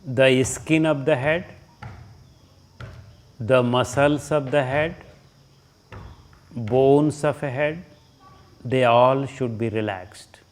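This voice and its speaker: A man with an Indian accent leading a guided relaxation as a yoga teacher, giving short instructions with long pauses between them. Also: mid-range voice.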